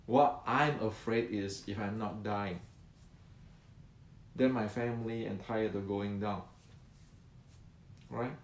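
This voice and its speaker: A man's voice: lowish voice, fairly even intonation, takes it slowly, pauses often, slight background noise. A man in his sixties explaining martial arts to viewers, often repeating words and answering his own questions. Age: 60s. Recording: roomy echo.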